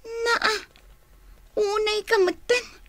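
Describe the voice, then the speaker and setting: A woman's voice: very high voice, level tone, very slow, pushed and bright, heavily acted. A woman with an Ilocano accent playing an exasperated, scolding daughter and wife in a radio drama. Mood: nagging, exasperated. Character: exasperated grown daughter, nagging wife caring for sick father.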